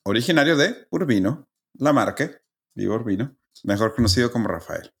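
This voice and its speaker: A man's voice: mid-range voice, expressive, brisk, vocal fry. A man with a Mexican accent who co-hosts a podcast, chatting casually in a joking, teasing way. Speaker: podcast co-host. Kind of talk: casual chat.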